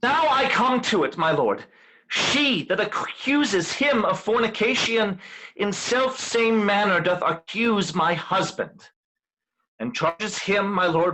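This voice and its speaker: A man playing a resolute, wronged veiled woman in a Shakespeare reading, in measured formal verse. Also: high voice, bright, forceful tone, heavily acted, rough recording.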